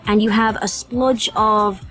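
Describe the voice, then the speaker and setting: A woman's voice: medium pitch, steady tone, slow pace. Faint music plays under a British woman's mid-range voice. She talks as a guest on a casual podcast, chatty and informal, poking fun at herself in homely, colloquial phrases. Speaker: featured guest, voice actress.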